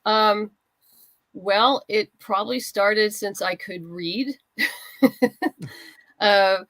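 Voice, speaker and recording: A woman's voice: medium pitch, drawn-out words, fairly bright tone, no echo. A woman chatting casually as an interview guest, talking in an enthusiastic, chatty way. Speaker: guest, writer and comics creator.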